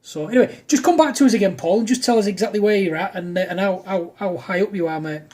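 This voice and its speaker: A man's voice: high-pitched, fast talker, darkish tone. A man talking informally and matily as an amateur radio operator, in casual back-and-forth chat. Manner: matey, informal.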